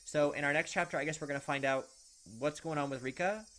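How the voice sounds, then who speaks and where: A man's voice: a little high, steady tone, fast talker, bright, forceful tone. A young man hosting a gaming video, reading game text aloud and adding casual commentary.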